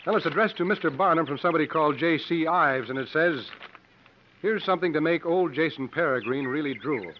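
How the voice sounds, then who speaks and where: An actor playing a roguish, unruffled adventurer with an urbane, dryly formal manner in 1950s radio acting. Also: male voice, fairly high pitch, fairly quick, lightly acted. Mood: brisk. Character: suave, sardonic, roguish male adventurer, cool under fire. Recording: dull, noisy 1950s radio recording.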